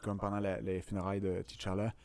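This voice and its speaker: A man's voice: low-pitched, monotone, a little fast, a little mellow, slight background noise. A man with a Quebec French accent hosting a podcast, discussing films in an informal, colloquial way. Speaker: podcast host. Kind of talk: casual film discussion.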